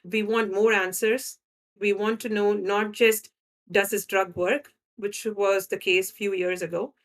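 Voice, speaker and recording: A woman's voice: mid-range voice, flat delivery, a little slow. A woman from India with an Indian accent, a guest scientist explaining her work in a conversational interview, often checking in with "right?". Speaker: guest scientist in drug development.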